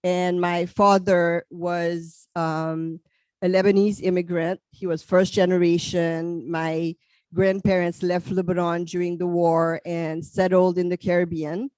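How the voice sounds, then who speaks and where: A middle-aged woman from Haiti whose first languages are French and Haitian Creole, speaking on a panel in a measured way with frequent fillers. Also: on the low side, flat delivery, a little mellow, no room sound. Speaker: psychologist panelist. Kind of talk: panel talk.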